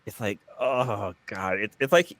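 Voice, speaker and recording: A man's voice: on the low side, measured pace, fairly bright tone. A man co-hosting a podcast, laid-back and joking in casual slang. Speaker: podcast co-host. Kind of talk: casual chat.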